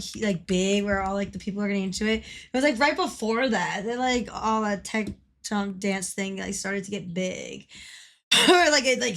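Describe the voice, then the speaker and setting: A young adult woman streaming a game, chatting casually with a slightly hoarse voice, laughing often and rambling with lots of fillers. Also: medium pitch, fairly bright tone. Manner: giggly, rambling, filler-heavy. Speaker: livestreamer. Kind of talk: casual chat while gaming.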